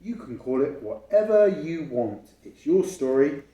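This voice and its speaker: A man voicing an encouraging male schoolteacher in an expressive picture-book reading. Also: on the high side, unhurried, highs cut off, slight background noise, voice pushed up. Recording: roomy, echoing home recording.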